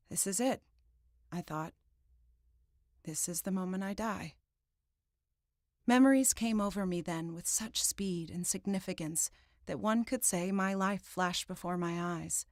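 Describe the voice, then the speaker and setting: A woman reading first-person fiction as an audiobook narrator, in a dramatic, deliberate literary style. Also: on the low side, dry recording, studio quality.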